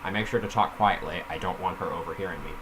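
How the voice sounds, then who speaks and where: A man's voice: low-pitched, fast talker, noisy background. A man reading a story aloud casually, with informal self-critical asides off the script. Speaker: reader of their own story.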